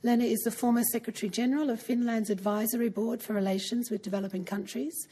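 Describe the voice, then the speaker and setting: A woman host reading a formal scripted introduction of a guest speaker smoothly and evenly. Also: on the high side, fairly even intonation, quick pace, mellow, a little hiss or hum.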